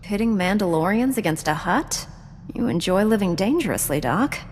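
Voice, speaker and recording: An actress playing a principled, composed female knight in a sci-fi video game, measured and earnest. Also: medium pitch, lively intonation, darkish tone, big-room sound, a little hiss or hum, close to an everyday voice. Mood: teasing. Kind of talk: video game dialogue acting.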